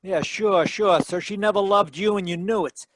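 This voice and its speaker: A wisecracking, tough detective played by a man, pitched high for a gumshoe. The delivery is dry and wry, in the manner of 1940s radio drama. The sound is close and free of room echo, taken from a live video call. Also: normal speed, fairly bright tone. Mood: dry, probing. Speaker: actor narrating and playing lead detective.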